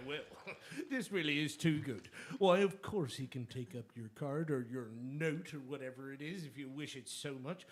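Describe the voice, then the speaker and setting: An actor playing a falsely polite, slippery blackmailer in a radio drama, with fussy, hesitant phrasing. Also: male voice, on the high side, normal speed, few pauses, lightly acted. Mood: amused, condescending. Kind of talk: theatrical radio drama acting.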